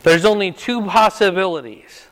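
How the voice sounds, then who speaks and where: An older man with a loud voice leading a Bible class, quizzing his group and pressing them to answer. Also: high-pitched, moderate pace. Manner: questioning, repeats and presses for answers. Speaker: Bible study teacher. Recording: reverberant room.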